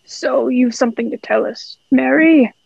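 A woman playing a cunning, commanding male pirate in an amateur radio play, speaking salty dialect in a gravelly voice. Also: high voice, measured pace, no echo, heavily acted. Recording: dull, lo-fi home recording.

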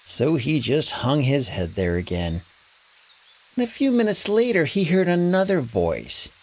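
A woman narrating a children's story in an expressive, conversational, casual way. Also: low voice, a little slow, slight background noise.

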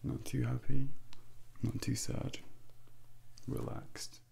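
Man, very heavy vocal fry, breathy half-whisper, suave calm devil role play, deliberate hypnotic guiding, faint background noise. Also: medium pitch. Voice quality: breathy, gravelly soft voice. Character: the Devil, suave male tempter, calm and controlling. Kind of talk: ASMR role play, soft-spoken and whispered. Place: dark indoor studio, close up. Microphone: close binaural microphone.

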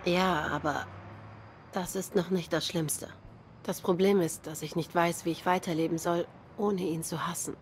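A woman's voice: lowish voice, steady tone, normal speed, slight background noise, natural voice. An actress playing a grieving young cat woman in a noir game, guarded, bitter and sardonic in a subdued way. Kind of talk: noir game dialogue acting.

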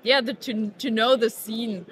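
A woman in her thirties, a startup co-founder, answering interview questions in a halting way, with many fillers and restarts. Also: fairly high pitch, steady tone, fairly bright tone. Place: busy indoor conference venue. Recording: conference crowd chatter behind.

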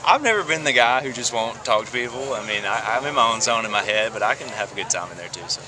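A young man, a college baseball pitcher, answering postgame questions outdoors at night in an easygoing way, chuckling now and then. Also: lively intonation, fast. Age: young adult. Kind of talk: postgame interview. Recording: outdoor crowd noise behind.

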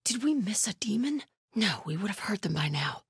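An actress playing a devout, stern, vigilant cult scout in a video game, speaking in a hushed, breathy, solemn way. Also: female voice, medium pitch, big pitch swings, moderate pace, dry recording, studio quality, heavily acted. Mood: watchful. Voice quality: breathy, hushed, often whispery. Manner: solemn, guarded.

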